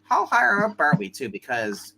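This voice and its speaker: A man playing in a tabletop roleplay game, chatting casually and excitably. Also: on the high side, moderate pace, vocal fry, husky, rough recording.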